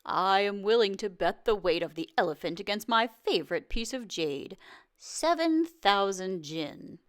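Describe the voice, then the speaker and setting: A woman voicing an excitable, boastful court advisor in a storybook, speaking in an emphatic, declamatory way. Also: mid-range voice, unhurried, natural voice. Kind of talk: storybook read-aloud.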